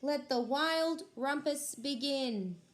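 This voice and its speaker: A woman's voice: sits high, slow, pitched above the performer's own voice. A woman voicing a mischievous young boy king in a wolf suit, calling out bold, commanding lines.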